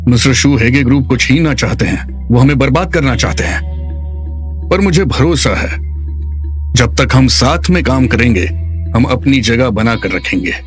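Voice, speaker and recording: A man acts as a proud, indignant corporate chairman standing his ground in a dubbed TV drama. His voice sits at a middling pitch, with clear music behind it. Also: lively intonation, moderate pace, lightly acted. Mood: firm, rallying.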